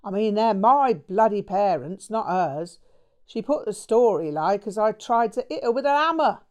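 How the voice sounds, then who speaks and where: A woman's voice: mid-range voice, expressive, moderate pace, a little mellow, pitched above the performer's own voice, natural voice. A woman voicing a brash, foul-mouthed English man who is bitter, manic and full of slang in an audiobook. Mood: ranting. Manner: swearing, slangy, bursts of manic cackling. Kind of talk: audiobook character voice.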